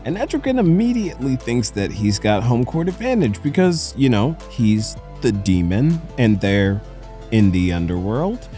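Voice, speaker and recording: A man hosting a casual commentary recap, telling the story in an animated, conversational way. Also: medium pitch, moderate pace. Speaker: comics recap host. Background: music.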